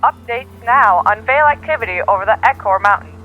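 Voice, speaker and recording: An actor playing a chipper radio news announcer reading a bulletin through a radio filter in an audio drama. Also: male voice, high voice, expressive, fairly quick, highs cut off, faint music behind. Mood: brisk, upbeat. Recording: thin band-limited radio sound.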